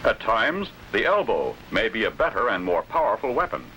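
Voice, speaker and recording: An American man delivers formal, scripted instructional film narration in an authoritative, deliberately enunciated way, on an old film soundtrack. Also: lowish voice, very animated, bright, forceful tone, a little hiss or hum, poor audio.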